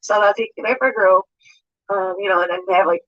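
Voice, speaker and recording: A woman's voice: medium pitch, steady tone, fast talker, a bit bright. A woman rambling hesitantly in a casual vlog, with many fillers. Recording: muffled lo-fi clip.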